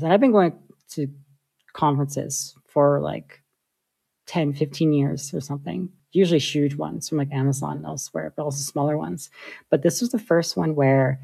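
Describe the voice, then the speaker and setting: Woman, interview guest, breathy rasp, rambling thoughtful talk. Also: deep voice, warm tone. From United States. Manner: thoughtful, many filler likes. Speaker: guest, software engineer.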